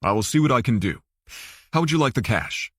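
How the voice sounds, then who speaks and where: A man's voice: mid-range voice, very animated, a little fast, no room sound, clean studio sound. A man voicing a guarded, formal male banker who is politely firm, in an audiobook thriller. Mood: courteous, discreet.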